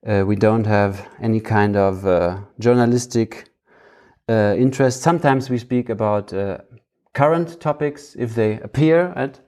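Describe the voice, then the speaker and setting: A man's voice: on the low side, unhurried, darkish tone. A man with a German accent hosting a podcast in a casual, conversational way, searching for his words as he goes. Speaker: podcast host.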